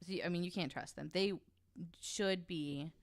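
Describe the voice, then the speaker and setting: A woman's voice: fairly low pitch, moderate pace. A woman explaining things casually on a podcast, informal and frank in her delivery. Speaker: podcast panelist.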